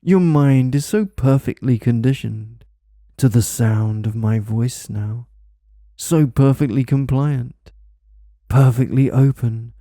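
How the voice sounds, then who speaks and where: A man speaking a slow, dominant, scripted hypnosis monologue with a commanding, repetitive delivery. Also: mid-range voice, dark tone, dry recording, clean studio sound. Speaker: hypnosis audio creator.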